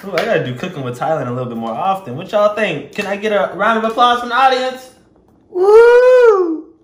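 A man's voice: high-pitched, fairly quick. A man in his twenties with a Southern US accent, talking playfully and sassily to viewers in casual slang as he hosts a home cooking vlog. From Louisiana, United States. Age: 20s. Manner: playful, sassy, animated, slangy. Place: indoors in a home kitchen. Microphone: camera a step or two away. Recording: echoey kitchen, some background noise.

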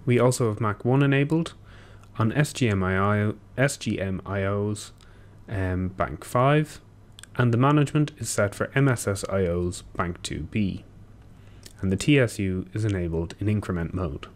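A young man giving a technical walkthrough, steady and explanatory with occasional ums and self-corrections, recorded at a desk in a small room. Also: fairly low pitch, moderate pace. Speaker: engineer presenting a tutorial. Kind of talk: technical walkthrough over screen share.

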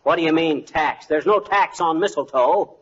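A man's voice: on the high side, moderate pace, fairly bright tone, breathy edge. A man playing a cocky, bumbling husband with slangy bluster in a 1940s radio comedy. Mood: bemused, wisecracking. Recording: muffled, noisy 1940s radio recording.

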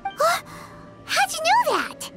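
A woman voicing a tiny, chirpy fairy companion in a video game, with a squeaky childlike voice and an excitable, eager manner. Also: slow pace, gravelly, heavily acted. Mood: amazed. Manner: excitable, speaks of self in third person. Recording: clean game dialogue over loud music. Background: background music.

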